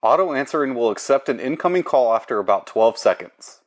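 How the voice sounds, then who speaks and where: Guy with an average pitched voice walking through product steps, plain and procedural. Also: animated, fairly quick. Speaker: product presenter. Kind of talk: instructional product tutorial.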